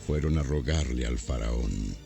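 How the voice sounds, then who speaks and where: A man narrates a dramatized Bible in a very deep, gravelly voice. His intonation moves a lot and the tone is dark and mellow. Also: unhurried, faint music behind. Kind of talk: dramatized scripted narration of scripture.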